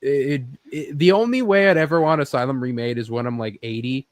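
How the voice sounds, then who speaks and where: A man's voice: medium pitch, fairly quick, no room sound. A man chatting casually and ramblingly as a podcast host, swearing freely and saying "like" a lot. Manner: rambling, profane, heavy use of "like". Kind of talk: casual chat.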